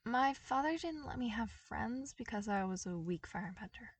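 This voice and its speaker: A woman's voice: on the high side, expressive, normal speed, slightly rough, dry recording, recorded from a distance, voice pushed up. A woman voicing an awkward, anxious teenage boy who is apologetic and wounded, with a nervous, hesitant manner. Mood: guarded, wistful.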